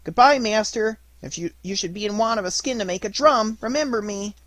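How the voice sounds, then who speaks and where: Man voicing a cheeky, high-pitched wooden puppet boy. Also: a little fast, fairly bright tone, no room sound. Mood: cheerful taunting.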